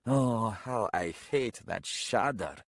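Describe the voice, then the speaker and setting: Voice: mid-range voice, very animated, unhurried, no echo, studio quality, pitched above the performer's own voice. A man voicing a pompous older warrior, a dramatic and mocking doomsayer with florid, wailing archaic speech in a story. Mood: sympathetic dread.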